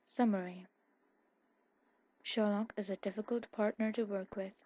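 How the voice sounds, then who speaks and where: A woman with a husky voice reading a scripted story aloud in an ASMR style. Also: medium pitch, steady tone, a little slow, warm tone, no echo, highs cut off. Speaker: fan fiction audiobook narrator.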